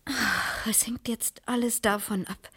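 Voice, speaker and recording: Sharp lady detective in a radio crime play, husky voice, very dry close sound, keeps pushing. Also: a little high, fairly even intonation, measured pace, no room sound, full character voice. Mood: reasoning. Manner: probing, insistent. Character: sharp, persistent female private detective, questioning and reasoning. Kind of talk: German radio crime drama acting.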